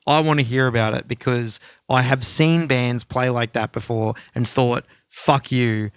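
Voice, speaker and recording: Smooth-voiced man, close and dry. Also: mid-range voice, steady tone, unhurried, dry recording. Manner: enthusiastic, informal, sweary. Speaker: music podcast co-host. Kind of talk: casual music chat.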